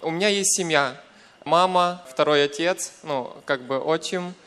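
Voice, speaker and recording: Young Ukrainian man, clear high-set voice. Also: measured pace, a bit bright. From western Ukraine. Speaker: guest giving a church testimony. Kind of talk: personal testimony with pauses for an interpreter. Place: church, speaking to a congregation.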